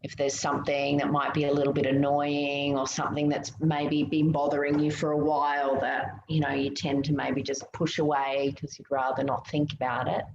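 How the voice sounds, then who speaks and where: A low-pitched woman with a creaky edge guides a meditation very slowly. Her delivery is soothing and gentle, with pauses between instructions. The recording sounds roomy. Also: flat delivery. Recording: muffled, roomy, noticeable background hiss.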